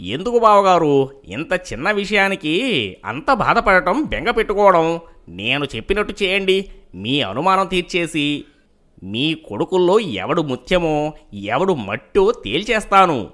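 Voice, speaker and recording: A man voicing a clever, confident, good-natured younger brother-in-law in an animated moral story. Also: fairly high pitch, a little slow.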